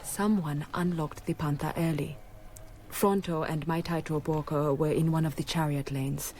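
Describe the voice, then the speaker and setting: A woman's voice: deep voice, steady tone, normal speed, darkish tone, slight background noise, close to an everyday voice. An actress playing a worldly female snake dancer in a radio drama, dry, teasing and matter-of-fact. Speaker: circus performer.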